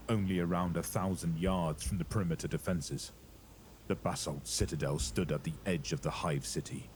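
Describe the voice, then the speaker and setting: A man's voice: deep voice, a little mellow, a little hiss or hum. A man narrating a scripted horror audio drama in a dramatic storytelling style.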